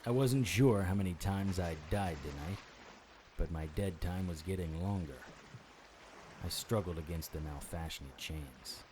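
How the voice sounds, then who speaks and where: An actor playing a wry, world-weary supernatural investigator, narrating a noir audio drama in clipped, deadpan phrases. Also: male voice, low voice, measured pace, noisy background. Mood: grim, wry.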